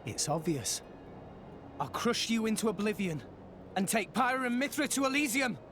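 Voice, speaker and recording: A man's voice: high voice, sing-song, moderate pace, a little hiss or hum. An actor playing an earnest, determined teenage boy hero in a video game cutscene. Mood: resolute. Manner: heartfelt, resolute. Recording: loud background music.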